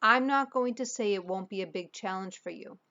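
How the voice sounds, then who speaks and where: A woman voicing a gentle mother in a storybook, reassuring and persuasive as she coaxes her child. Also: medium pitch, normal speed, dull audio. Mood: encouraging. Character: gentle, encouraging, coaxing mother.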